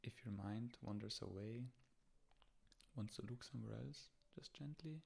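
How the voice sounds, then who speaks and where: A man leading a guided meditation as a teacher, speaking in short, gentle, unhurried phrases. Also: mid-range voice, vocal fry.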